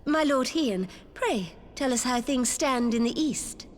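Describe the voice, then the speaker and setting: An actress playing a dignified, gracious ruler in a video game, regal, formal and composed. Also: female voice, high voice, lively intonation, unhurried. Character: dignified, gracious female ruler presiding over a council. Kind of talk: video game cutscene acting. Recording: long hall reverb, faint background noise.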